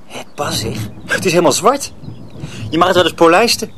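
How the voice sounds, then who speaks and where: An actor playing a cheeky, excitable young mill lad in a radio drama. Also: male voice, on the high side, fairly quick. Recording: radio play, faint background noise.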